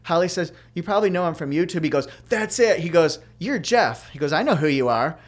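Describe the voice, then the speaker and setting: A man's voice: on the high side, animated, brisk. Middle-aged male podcast host with a youthful voice, loose storyteller. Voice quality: youthful-sounding voice. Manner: chatty storyteller with many fillers and restarts. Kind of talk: casual chat.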